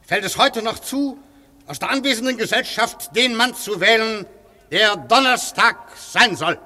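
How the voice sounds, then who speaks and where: A man's voice: high voice, measured pace, bright, forceful tone, exaggerated voice. An actor playing a stern, formal chairman who presides over a secret meeting with official authority in a radio play. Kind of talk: German radio play acting. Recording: echoey hall with long reverb.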